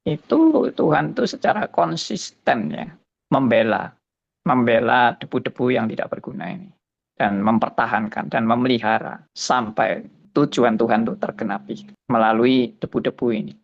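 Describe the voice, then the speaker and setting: A pastor in his seventies giving a slow, musing spoken testimony, often tagging his phrases with a soft "ya". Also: medium pitch, expressive, gravelly, dull audio. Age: 70s.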